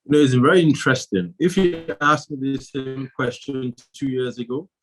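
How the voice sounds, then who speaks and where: A man appearing as a podcast guest who answers in a measured way with hesitant fillers. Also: mid-range voice, rough recording.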